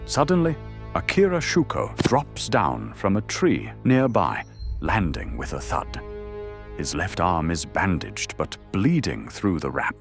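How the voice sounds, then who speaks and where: A man's voice: deep voice, sing-song, measured pace, vocal fry. A man delivering dramatic scripted narration for an audio drama. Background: faint music.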